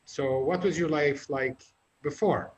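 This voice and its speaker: A man's voice: fairly high pitch, unhurried, muffled recording. A man hosting a casual video call interview, informal and joking with his guest. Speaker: host.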